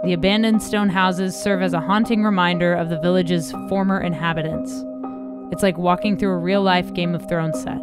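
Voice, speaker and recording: A woman hosting a channel, reading a scripted documentary narration in a measured, even countdown manner. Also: medium pitch. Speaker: channel host. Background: music bed.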